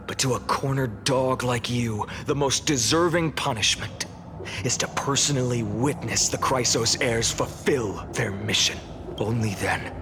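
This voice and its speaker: A man's voice: lowish voice, close to an everyday voice. A man voicing an earnest, resolute young hero in a video game, speaking formally and with stern conviction. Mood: cold scorn. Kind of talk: dramatic video game acting.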